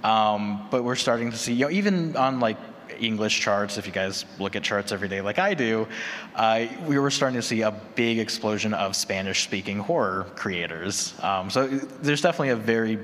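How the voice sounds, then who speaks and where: A man with a fairly low voice moderates a live panel discussion. He talks conversationally, sprinkling in little tag questions. The hall rings around him, with faint noise behind. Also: fairly quick, a bit bright. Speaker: panel moderator and horror podcaster. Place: large conference room with audience. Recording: echoey hall with background noise.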